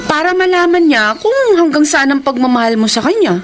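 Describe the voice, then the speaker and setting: A woman's voice: sits high, expressive, a little fast, a little hiss or hum. An actress playing a caring young woman who narrates her story and comforts a heartbroken friend in a radio drama. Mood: consoling. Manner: consoling, friendly. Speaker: narrator and letter sender. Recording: radio drama with music bed.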